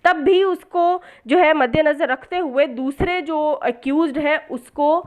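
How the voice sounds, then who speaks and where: A woman's voice: sits high, unhurried. A woman with a Pakistani accent lecturing as a law tutor, explaining step by step with rhetorical questions, repetition for emphasis and English legal terms mixed in. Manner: didactic, repeats key points for emphasis.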